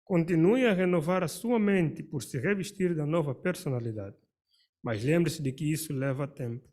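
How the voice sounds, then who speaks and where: A man reading a religious study text aloud to a congregation in a measured, formal way. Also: fairly high pitch, darkish tone. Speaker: paragraph reader.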